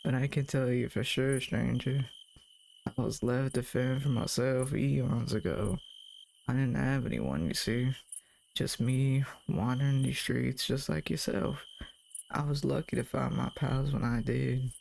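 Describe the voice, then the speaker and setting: An actor voicing a folksy, friendly drifter in a scripted audio monologue, with a familiar, chatty way of talking. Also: male voice, mid-range voice, a little slow, gravelly, a little mellow, slight background noise. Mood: confiding. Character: folksy, drawling friendly drifter man with unnatural features. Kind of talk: scripted character monologue to the listener.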